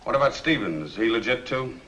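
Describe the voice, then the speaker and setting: An actor playing a portly, shrewd, easygoing private detective in a 1950s film noir. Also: male voice, mid-range voice, lively intonation, fairly quick, natural voice. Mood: probing. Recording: faintly hissy old film soundtrack.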